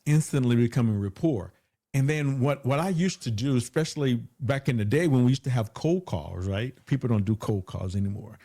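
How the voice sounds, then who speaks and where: A man's voice: mid-range voice, lively intonation, a little fast, dark tone. Man with a big, emphatic voice. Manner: emphatic, repeats phrases for stress. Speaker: guest, hypnotherapist. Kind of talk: conversational interview.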